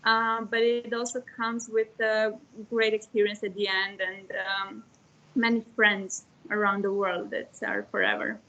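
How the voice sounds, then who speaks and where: A woman's voice: mid-range voice, little pitch movement, measured pace, pushed and bright, highs cut off. A young woman from Romania, a panelist on a video call, talking hesitantly with many fillers about her traineeship. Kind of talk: online panel talk.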